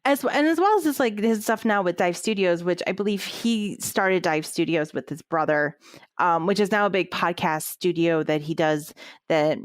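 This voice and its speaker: A woman's voice: fairly low pitch, moderate pace, no room sound, studio quality. A woman co-hosting a podcast, chatting casually about music with lots of fillers and restarts. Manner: rambling, many fillers and restarts. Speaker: podcast co-host. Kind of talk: casual music review chat.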